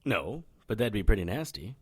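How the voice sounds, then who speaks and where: Low-voiced, animated, wisecracking detective. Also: male voice, moderate pace, breathy edge. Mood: cocky probing. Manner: wry, noir-style, irreverent. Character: wisecracking, cocky male occult private investigator. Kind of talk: audio drama dialogue and first-person narration.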